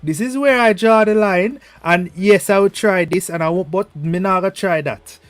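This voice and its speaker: High-voiced Jamaican man arguing his case. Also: normal speed, no room sound. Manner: cuts in, argumentative, repeats words. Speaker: panelist. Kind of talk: heated panel debate.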